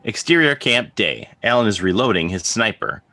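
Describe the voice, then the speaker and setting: A man with a husky voice narrating scripted scene directions for a dramatic table read. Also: on the low side, expressive, normal speed, sharp tone. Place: remote video call read. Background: music under the voice.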